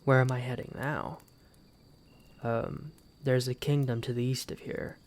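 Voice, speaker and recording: Casting: male actor as proud, wounded exiled knight for fantasy audio roleplay; very creaky voice, unhurried, archaic formal delivery. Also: medium pitch, little pitch movement, darkish tone, close to an everyday voice. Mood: guarded. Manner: formal, archaic, solemn storytelling with dramatic pauses. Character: embittered exiled knight, wounded pride, grieving for his mother. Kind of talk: first-person fantasy roleplay audio drama.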